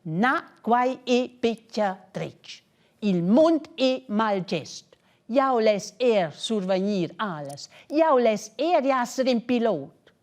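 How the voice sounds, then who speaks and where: A woman's voice: on the high side, big pitch swings, extremely slow, darkish tone, full character voice. A woman voicing an indignant, complaining male worm who longs to fly, in a children's storybook. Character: furious, grumbling male worm longing to fly.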